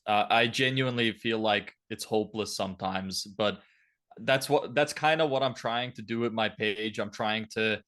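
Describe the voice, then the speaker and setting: A man's voice: on the low side, normal speed, a bit bright. A twenty-year-old man from Lithuania giving blunt, opinionated, casual answers as a podcast guest.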